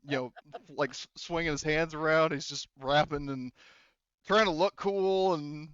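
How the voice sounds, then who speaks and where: A man's voice: a little high, fairly even intonation, measured pace, fairly bright tone, smooth, dry recording, lo-fi sound. A man running a tabletop roleplaying game, narrating casually in hesitant, piecemeal phrases. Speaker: game master.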